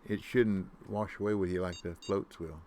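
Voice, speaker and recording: A man with a deep voice giving casual commentary on his own gameplay, muttering to himself and humming nonsense tunes. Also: fairly even intonation, moderate pace, darkish tone, slight background noise. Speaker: gaming YouTuber.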